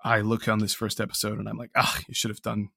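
A man's voice: lowish voice, completely flat delivery, fast, vocal fry, bright, forceful tone, slightly rough, no echo, clean studio sound. A man giving a casual, reflective interview as a creator, talking hesitantly and with self-deprecation. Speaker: interview guest, audio drama creator.

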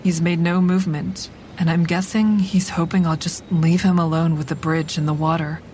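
An actress playing a clever, manipulative woman who narrates a crime thriller audio drama in a measured, deliberate way. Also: on the low side, steady tone, dark tone, slight background noise, close to an everyday voice. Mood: cool, calculating. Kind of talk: scripted first-person audio drama narration.

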